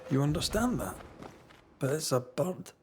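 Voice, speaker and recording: An actor playing a devoted, protective ghost hunter in a video game, speaking in a measured, reassuring, naturalistic way. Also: male voice, on the high side, very animated, slight background noise. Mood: puzzled.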